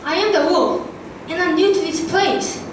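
Very high-pitched polite puppet wolf played by a girl. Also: normal speed. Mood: polite greeting. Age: child, about ten or eleven. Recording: echoey school hall, distant, very noisy.

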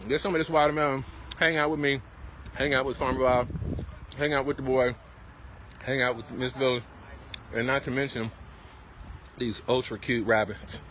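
A middle-aged man hosting a casual livestream as a farm stand vendor, with an enthusiastic, repetitive sales pitch. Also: fairly even intonation, normal speed, long pauses, fairly bright tone. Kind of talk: casual promotional livestream chat. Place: outdoors at a tented farm stand by a street. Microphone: handheld phone, around arm's length. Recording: noisy outdoor street-side phone recording.

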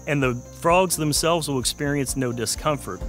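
A man in his forties explaining his work in a measured way as a wildlife researcher in a documentary interview, recorded outdoors. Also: fairly high pitch, a bit bright, faint music behind. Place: outdoors in woodland.

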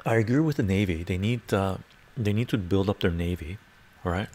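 A man's voice: low-pitched, normal speed, a little mellow, a little hiss or hum. An older man from Canada hosting a casual livestream from his home study on a lapel mic, chatting and answering viewer comments aloud. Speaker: livestream host reading chat.

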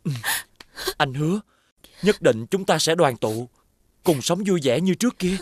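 Earnest young hero, higher male voice. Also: lively intonation, unhurried, no echo, clean studio sound. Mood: resolute, reassuring. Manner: earnest, dramatic. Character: brave, protective young male fairy-tale hero. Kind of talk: Vietnamese animated fairy-tale acting. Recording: loud music behind the voice.

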